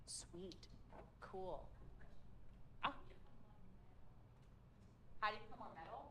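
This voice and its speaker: A woman's voice: mid-range voice, animated, slow, fairly bright tone, slight background noise, rough recording. A woman leading a public speaking workshop, upbeat and chatty with plenty of fillers. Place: meetup room with a group.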